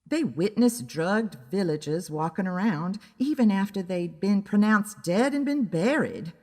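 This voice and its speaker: A woman playing a wry, easily annoyed private investigator who narrates her own story in a deliberate, dramatic way. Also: medium pitch, lively intonation, dark tone, in a large hall. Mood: wry, matter-of-fact. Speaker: narrator and lead character of an audio drama. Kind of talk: scripted first-person detective story narration.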